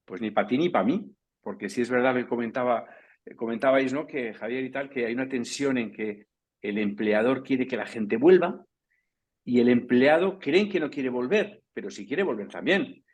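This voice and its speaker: Man, panelist, round-table talk, discursive, mid pitch. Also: normal speed, husky. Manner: discursive, tags points with "¿no?" and "¿vale?".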